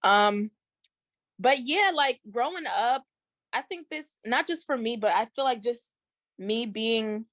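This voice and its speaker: A woman's voice: on the high side, slow pace, no echo. A young woman singer, born in Haiti, talking casually as an interview guest, with slang and many fillers. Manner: relaxed, slangy, with frequent fillers.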